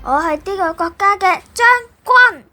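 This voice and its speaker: A woman's voice: very high voice, lively intonation, moderate pace, over loud music. A woman playing a bold, righteous general in a stage play, declaiming heroically. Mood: triumphant, threatening.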